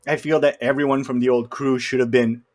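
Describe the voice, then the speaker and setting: Man of medium pitch explaining things casually. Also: flat delivery, brisk. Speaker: podcast co-host. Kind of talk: casual film discussion.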